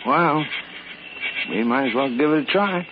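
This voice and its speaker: Worried plain-talking american adventurer, 50s radio drama, very animated pitch, noisy old broadcast sound. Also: male voice, a little slow. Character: plain-spoken anxious male time-travel expedition crewman. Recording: noisy muffled 1950s radio recording.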